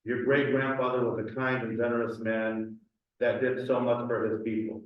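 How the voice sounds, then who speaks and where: A man's voice: medium pitch, flat delivery, moderate pace. Earnest man in an echoey hall. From Cleveland, USA. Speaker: community speaker. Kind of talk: reading a personal essay aloud. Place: community event hall. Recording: echoey hall, distant and dull mic.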